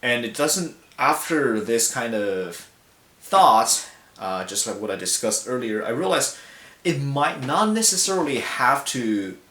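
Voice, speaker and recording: A young man speaks in a mid-pitched voice, thinking aloud with plenty of ums and restarts. His words ring out in a roomy, echoing hall. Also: fairly bright tone. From China. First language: Mandarin. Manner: reflective, halting with fillers and self-corrections. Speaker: speaker giving a talk. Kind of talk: personal public talk. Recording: echoey hall.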